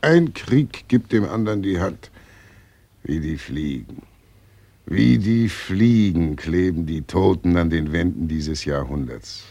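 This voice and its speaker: An actor playing Death as a fat, morbidly jovial undertaker in a radio play, gravelly and very deep, offhand and poetic in manner. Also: male voice, expressive, takes it slowly, warm tone, exaggerated voice. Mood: smug, morbid. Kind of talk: post-war German radio play acting.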